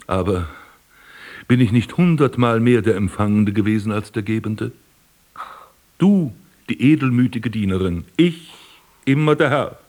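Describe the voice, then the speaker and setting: A man's voice: on the low side, measured pace, a little mellow, close to an everyday voice. An actor playing a proud, possessive aging prince and father figure, solemn and declamatory in a poetic radio drama. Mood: wistful, possessive. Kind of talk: literary German radio drama acting.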